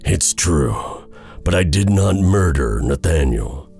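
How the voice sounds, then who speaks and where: Give me a man acting out a polite young villager from a storybook, resigned, soft and earnest. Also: a bass voice, lively intonation, slow, creaky, faint music behind, heavily acted. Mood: resigned, unwavering.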